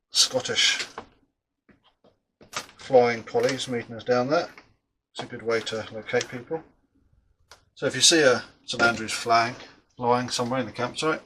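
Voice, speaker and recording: A man's voice: medium pitch, a bit bright, a little hiss or hum, rough recording. A middle-aged man chatting casually while building a model aircraft, rambling and often restarting his sentences.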